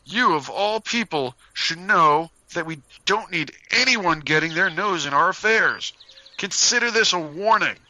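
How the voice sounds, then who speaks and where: A man voicing a stern, angry leader of a Western outlaw posse in an audio story, with a forceful, scolding manner. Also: high-pitched, lively intonation, measured pace, dry recording, voice pushed up, full character voice. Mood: stern, frustrated. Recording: noisy background hiss.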